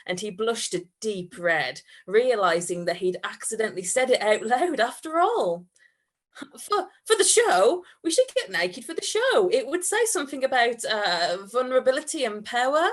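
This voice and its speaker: A woman giving a live reading of comic fiction, giggly and prone to breaking into laughter. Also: mid-range voice. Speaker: reader at a comedy event.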